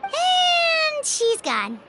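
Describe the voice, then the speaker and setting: A woman voicing a tiny, childlike fairy companion in a video game, squeaky, chatty and curious. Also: wildly swooping pitch, drawn-out words, loud music behind the voice, full character voice. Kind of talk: English video game voice acting.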